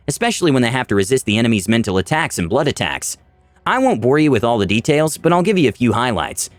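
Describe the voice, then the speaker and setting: Male narrator, mid-pitched, animated intonation, scripted fantasy storytelling, dramatic delivery, dry recording, music behind. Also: no room sound. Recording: background music under dry voice.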